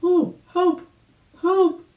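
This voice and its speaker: Storybook old rabbit gentleman, acted by a woman: earnest, exclamatory, raised voice, roomy sound. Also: very high-pitched, little pitch movement, extremely slow, phone-quality sound, pitched above the performer's own voice, heavily acted. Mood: panicked. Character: kindly old gentleman rabbit, easily flustered. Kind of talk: children's storybook read aloud. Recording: echoey room.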